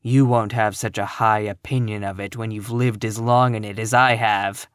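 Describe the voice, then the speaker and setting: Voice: mid-range voice, a little slow, no echo, clean studio sound, close to an everyday voice. A narrator voicing a grim, sour, scornful spinster in her fifties from a storybook, full of gloom and contempt. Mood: contemptuous, sour. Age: 50s. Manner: grim, scornful, flat pronouncements.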